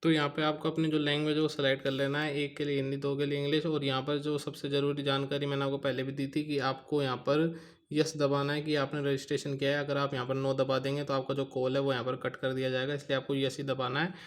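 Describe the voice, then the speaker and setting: A man's voice: medium pitch, monotone, quick pace. A man giving an explanatory tutorial as a video host, in a repetitive, instructional manner. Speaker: YouTube host.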